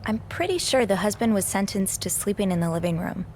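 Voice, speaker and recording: A woman playing an empathetic, curious young hotel maid in a video game, speaking in an earnest, gentle, thoughtful way. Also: mid-range voice, animated, fairly quick, slight background noise, natural voice. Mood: confiding. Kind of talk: naturalistic video game voice acting.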